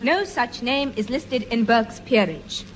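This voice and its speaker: An actress playing a brisk, urgent woman in a 1950s British radio sitcom. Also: on the high side, expressive. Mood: alarmed. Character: brisk, urgent woman warning her hapless friend. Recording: old radio recording with faint noise.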